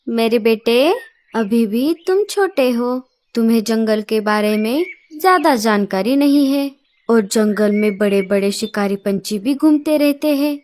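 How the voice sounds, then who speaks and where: A woman voicing a caring, cautious mother bird, gently instructing in a cartoon story. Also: on the high side.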